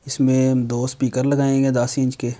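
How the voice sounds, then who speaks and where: Young guy doing a diy tutorial, pretty flat delivery, plain and instructional. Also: medium pitch, normal speed. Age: young adult. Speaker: how-to video host. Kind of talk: step-by-step tutorial narration.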